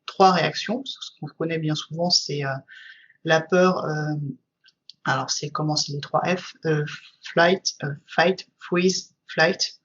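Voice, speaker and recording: A woman's voice: deep voice, steady tone, slow pace, fairly bright tone, dull audio, a little hiss or hum. A woman, a guest trainer, explaining her subject at length in casual conversation, with frequent fillers.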